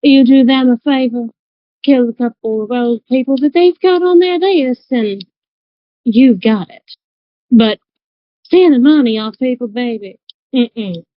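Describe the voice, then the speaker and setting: Tabletop RPG role: shrewd, folksy woman fixer acted by a woman, higher register, slightly dull dry recording. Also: unhurried, no echo, natural voice. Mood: coaxing, knowing. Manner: drawling, folksy, calls people baby. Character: worldly, shrewd woman dealmaker in disguise, protective of children.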